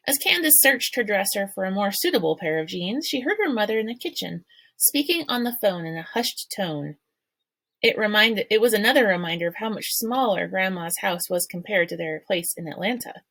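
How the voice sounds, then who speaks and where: A woman reading a children's novel aloud chapter by chapter, in steady, unhurried storytelling. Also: medium pitch, no echo. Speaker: read-aloud narrator.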